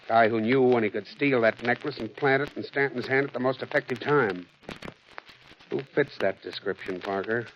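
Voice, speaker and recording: An actor playing a tough, wry private detective in old radio drama style, clipped and slangy. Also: male voice, medium pitch, moderate pace, lightly acted. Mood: accusing, assured. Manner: hard-boiled, clipped, slangy. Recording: dull, noisy old radio recording.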